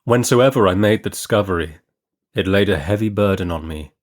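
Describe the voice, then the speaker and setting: Man narrating a Victorian story. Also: low-pitched. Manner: measured, earnest, formal. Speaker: audiobook reader.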